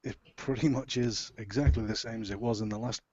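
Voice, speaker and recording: A man with a low, rough, gruff voice, a voice actor answering matter-of-factly in casual conversation. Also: steady tone, brisk, lo-fi sound, noisy background.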